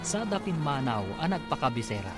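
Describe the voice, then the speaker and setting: Animated male radio drama announcer. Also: fairly high pitch, over music. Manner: deliberate, emphatic delivery. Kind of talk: dramatic scripted narration and credits.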